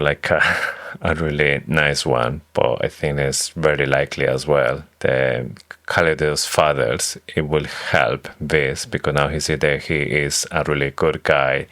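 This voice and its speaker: Very deep-voiced man musing over predictions. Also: fairly bright tone. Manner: tentative, halting phrasing as he lays out predictions. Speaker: podcast co-host. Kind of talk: casual book-club chat.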